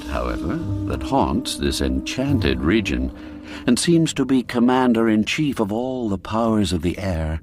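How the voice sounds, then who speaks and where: A man narrating a classic radio story in a measured, deliberate storytelling manner. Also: sits low, a little mellow. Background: faint background music.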